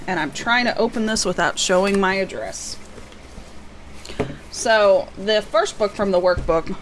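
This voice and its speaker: Slightly hoarse woman chatting, some background noise. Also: medium pitch, fairly bright tone, low-quality recording. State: losing her voice, slightly hoarse. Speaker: book vlogger. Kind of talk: casual unboxing chat.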